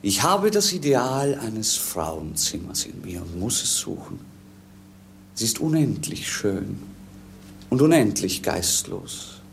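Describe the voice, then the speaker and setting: Romantic young prince, 1950s radio play, flowery delivery, faint noise on the recording. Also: male voice, on the low side, big pitch swings, takes it slowly, vocal fry, heavily acted. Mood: rhapsodic, yearning. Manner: rhapsodic, poetic declamation.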